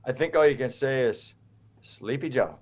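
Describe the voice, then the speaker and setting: A man's voice: medium pitch, lively intonation, normal speed, a little mellow. A man from the United States speaking as an interview guest, informal, opinionated and rambling. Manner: informal, rambling, checks in with "right?".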